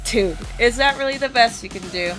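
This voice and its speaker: A woman's voice: a little high, a little fast, music in the background, close to an everyday voice. A woman playing a tough, sardonic female bounty hunter in an audio drama, blunt and teasing. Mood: mocking, flirty.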